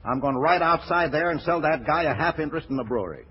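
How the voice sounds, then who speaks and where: A man's voice: on the high side, expressive, fast, over loud music, close to an everyday voice. An actor playing a brash, streetwise middle-aged ex-racketeer running a brewery, with slangy, stammering tough-guy talk in 1940s radio comedy style. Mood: scheming. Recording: dull, noisy 1940s radio broadcast.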